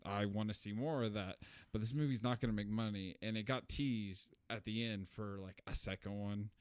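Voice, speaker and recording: A man's voice: lowish voice, fairly even intonation, moderate pace, smooth, no room sound, studio quality. A man co-hosting a film review podcast, talking casually and giving informal, blunt opinions. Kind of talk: casual chat, movie review discussion.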